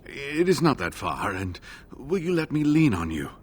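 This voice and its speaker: A man playing an injured, earnest scholarly monk in a video game, speaking in a halting, expressive way. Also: mid-range voice, slight background noise, close to an everyday voice. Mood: urgent, determined. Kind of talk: dramatic video game dialogue.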